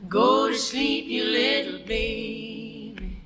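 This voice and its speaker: Slow female folk lullaby singing. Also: a little high, over loud music. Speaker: singer. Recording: played song recording.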